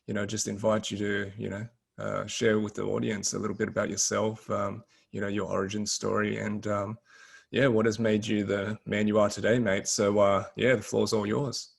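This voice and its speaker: A man's voice: on the low side, flat delivery, normal speed, vocal fry, husky. An Australian man hosting a podcast, welcoming a guest in a laid-back way full of fillers. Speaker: podcast host. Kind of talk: casual interview intro.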